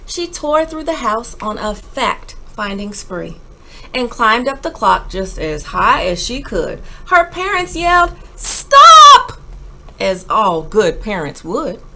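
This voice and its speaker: Woman, children's read-aloud, unhurried, very lively intonation, creaky edges, dramatic emphasis, faint background noise. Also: mid-range voice. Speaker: teacher reading a picture book aloud.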